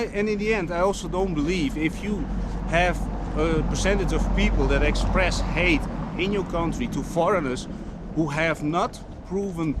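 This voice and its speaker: A man's voice: a little high, noisy background. A man from the Netherlands, talking casually to camera in a rambling way, often restarting and searching for his words. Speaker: vlogger.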